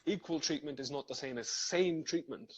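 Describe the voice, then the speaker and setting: A man's voice: fairly high pitch, moderate pace, breathy edge. A man from Serbia, talking things through thoughtfully in a casual live video chat, with many fillers and restarts.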